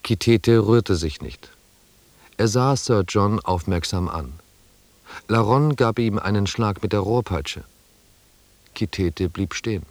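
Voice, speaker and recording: An actor playing a sober expedition member who narrates the journey in a German radio drama, measured and descriptive. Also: male voice, lowish voice, a little mellow, no room sound. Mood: detached.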